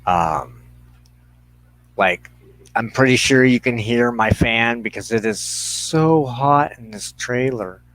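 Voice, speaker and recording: A man's voice: mid-range voice, slow pace, a bit bright, no room sound. Casual man hosting a dry-sounding livestream. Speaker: livestream host of a spiritual ministry show. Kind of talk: casual live chat to viewers. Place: inside a trailer. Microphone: headset.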